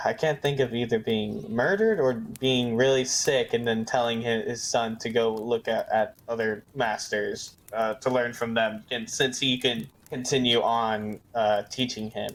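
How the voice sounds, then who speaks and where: Male tabletop player, slow halting delivery, medium pitch, casually thinking up story as he goes. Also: rough recording. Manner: hesitant, halting. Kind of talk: casual improvised game talk.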